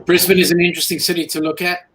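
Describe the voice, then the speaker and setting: A co-host from Australia or New Zealand chatting casually on a live stream, pausing often with "um" and reading viewer comments aloud. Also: mid-range voice, level tone, fairly bright tone. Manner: hesitant, many "um" fillers, reads comments aloud.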